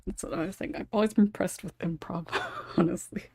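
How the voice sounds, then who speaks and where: A woman's voice: lowish voice, fairly even intonation, a little fast, creaky, a little mellow, husky, no echo. Chatty woman hosting, close dry sound. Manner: chatty, giggly, informal. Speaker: podcast host and writer. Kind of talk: casual panel discussion hosting.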